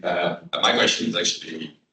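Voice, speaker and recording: A man's voice: sits low, completely flat delivery, moderate pace, gravelly, pushed and bright. A man in the audience asking the presenter a casual, rambling question. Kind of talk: conference question. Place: seminar room. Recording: echoey room from far off.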